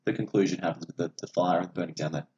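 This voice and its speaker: A man's voice: deep voice, steady tone, rapid-fire, warm tone, highs cut off. A man, an opinionated podcast host who swears freely while casually discussing films. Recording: echoey room, audible background noise.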